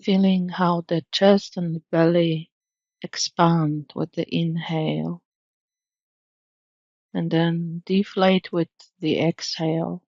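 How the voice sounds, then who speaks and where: Slow, creaky-voiced woman guiding meditation. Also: on the low side, flat delivery, dry recording, dull audio. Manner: soothing, gentle, measured instruction. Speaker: meditation guide. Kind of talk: guided meditation narration.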